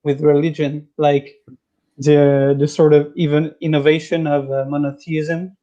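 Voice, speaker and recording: French guy calling in, lots of ums, soft dark voice, muffled line. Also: on the high side, level tone. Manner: hesitant, many ums and pauses. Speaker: caller. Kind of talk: casual call-in question.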